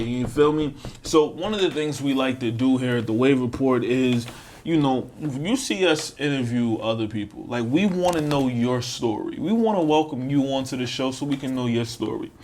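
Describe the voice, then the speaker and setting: Male podcast host, casual and slangy with emphatic repetition, mid pitch, noisy recording. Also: brisk. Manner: slangy, emphatic, repeats phrases. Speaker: podcast host interviewing his co-host. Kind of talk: casual podcast intro and interview.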